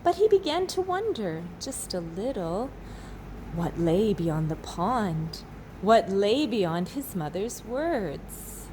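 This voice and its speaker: Woman, storybook read-aloud for children, expressive, dramatic pauses, slow delivery, mid pitch, noisy ambient recording. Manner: slow, dramatic pauses, asks listeners questions. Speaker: teacher reading a story aloud.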